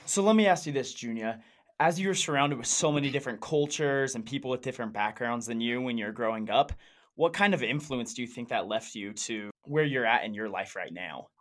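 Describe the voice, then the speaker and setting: A man's voice: medium pitch, animated, a little fast. A man interviewing a guest, asking friendly, open questions in conversation.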